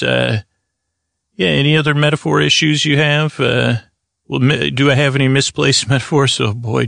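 A man hosting a bedtime comedy podcast, improvising a meandering, halting, absurdist monologue in a creaky voice. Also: medium pitch, a bit bright, dry recording, clean studio sound. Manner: meandering, halting, absurdist one-sided conversation with an imagined doctor. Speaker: podcast host.

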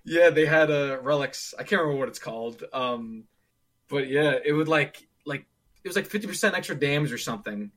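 A man's voice: fairly high pitch, expressive, a little fast, a bit bright. A man in his twenties chatting casually about gaming, rambling with lots of fillers and restarts. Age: 20s. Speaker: streamer.

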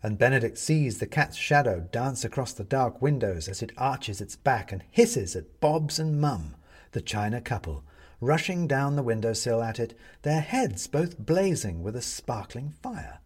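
A man narrating a story from a script in an expressive, dramatic way. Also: mid-range voice, measured pace, breathy edge. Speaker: audiobook narrator.

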